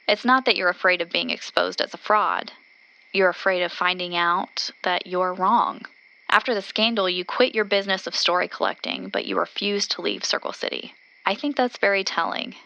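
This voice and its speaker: A woman's voice: on the low side, gravelly, pushed and bright, lo-fi sound. A woman acting as an earnest, observant radio reporter who narrates events into her recorder in an audio drama. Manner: descriptive, observant, speaking into a recorder.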